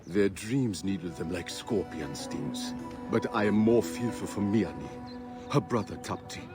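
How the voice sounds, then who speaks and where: Low-voiced, portentous ancient dream seer. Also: male voice, lively intonation, measured pace. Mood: troubled, solemn. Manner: portentous, formal, poetic phrasing. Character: solemn mystic male dream seer of ancient Egypt. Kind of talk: video game cutscene acting. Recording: game music behind the voice.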